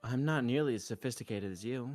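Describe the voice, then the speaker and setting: Casting: male game streamer, middle register, reads story text and character lines aloud over soft background music. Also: medium pitch, fast. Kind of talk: visual novel read-aloud, narration and dialogue.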